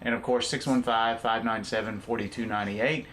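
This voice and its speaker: A man's voice: fairly low pitch, fairly even intonation, normal speed, fairly bright tone, a little hiss or hum. A man, an upbeat guest talking up his resort on a local TV show. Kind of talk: casual promotional chat.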